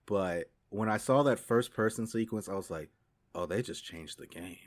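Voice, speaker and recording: A man's voice: fairly low pitch, moderate pace. A man in his thirties talking casually and enthusiastically as a podcast co-host, swearing freely. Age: 30s. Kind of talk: casual banter about movies.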